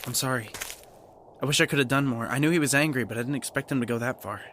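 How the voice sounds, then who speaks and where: An actor playing an earnest, apologetic teenage recruit in an audio drama, speaking sincerely and gently. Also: male voice, medium pitch, quick pace, slight background noise, natural voice. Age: young adult. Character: earnest, apologetic eighteen-year-old male recruit. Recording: prominent music underneath.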